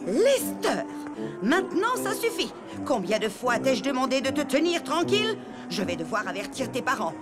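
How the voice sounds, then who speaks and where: A strict schoolmistress from a children's cartoon. Her voice sits a little high and swings widely in pitch as she scolds in formal, proper phrases. Loud music plays underneath. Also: very animated, normal speed. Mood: scolding. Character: stern, humourless elephant schoolteacher woman. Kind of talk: French children's cartoon dialogue.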